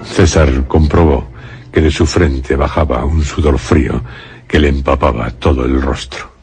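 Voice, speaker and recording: A man with a Castilian Spanish accent narrating a suspense radio drama in a grave, measured storytelling manner. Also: a bass voice, fairly even intonation, a little mellow, soft background music.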